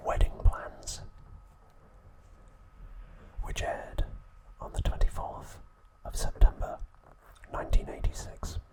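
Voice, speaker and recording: Male ASMR host. Full breathy whisper throughout, very unhurried, gentle and deliberate delivery. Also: low-quality recording. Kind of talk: whispered ASMR narration and trivia.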